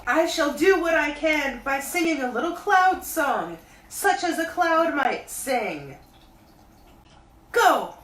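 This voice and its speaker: A woman voicing a gentle, simple-minded male teddy bear in a storybook reading, speaking in a slow, earnest loud whisper. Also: high voice, expressive, pitched above the performer's own voice, full character voice. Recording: roomy, distant mic.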